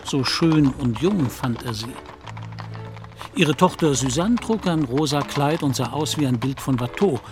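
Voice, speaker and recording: A male narrator tells a literary story for an audio drama. His voice sits at a medium pitch and his delivery is measured and articulate. Music plays clearly behind him. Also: expressive.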